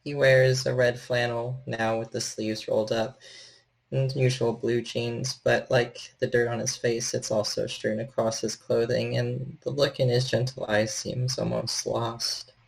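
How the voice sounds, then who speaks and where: A man narrating a tabletop horror game as storyteller, in a measured, descriptive manner. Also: mid-range voice, level tone, vocal fry, husky, low-quality recording.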